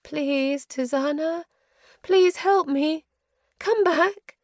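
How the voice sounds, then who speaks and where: A woman voicing the ghost of a dead mother who pleads with her child in a breathy, tender way. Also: sits high, drawn-out words, no room sound, clean studio sound, voice pushed up. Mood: desperate.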